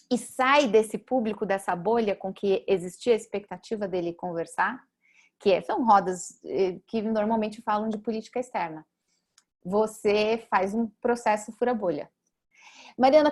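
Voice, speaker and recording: A woman's voice: fairly high pitch, a little mellow. A woman moderating a webinar, explaining a point at length and handing questions to a guest. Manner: explanatory, guiding the discussion.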